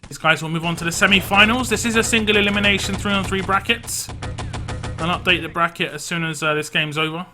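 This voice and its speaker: A man casting a video game match live, tired and losing his voice, with frequent fillers and quick self-corrections. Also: high voice, fairly even intonation, over music. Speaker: esports caster.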